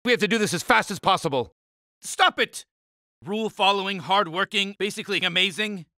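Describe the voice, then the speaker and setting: A man voicing a grumpy, uptight, pompous alien spaceship leader in an animated cartoon game, exasperated and emphatic. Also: high-pitched, fairly quick, no room sound, clean studio sound. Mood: pompous, insistent.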